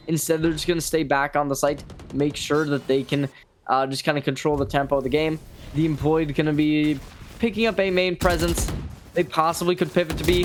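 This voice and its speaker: High-voiced male esports analyst, faint background noise. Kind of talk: analytical video game match commentary.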